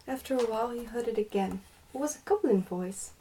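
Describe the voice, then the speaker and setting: A woman narrating in a soft, breathy, often whispered ASMR style, with gentle and careful delivery. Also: on the high side, lively intonation, a little fast, poor audio. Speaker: ASMR host reading a book aloud.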